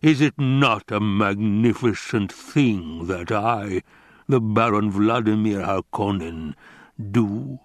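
A man voicing a fat, scheming baron in an audiobook, with a rumbling, growling bass and a grandiose, savoring delivery. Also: slow, no room sound, studio quality. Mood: gloating. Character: fat scheming villainous baron, pompous and menacing.

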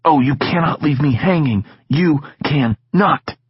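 A brash, teasing teenage boy who talks his friend into things, played by a male narrator. His voice sits high and a little loud, with a husky grain. The recording sounds a touch dull. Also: animated, normal speed, no room sound. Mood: pushy eager.

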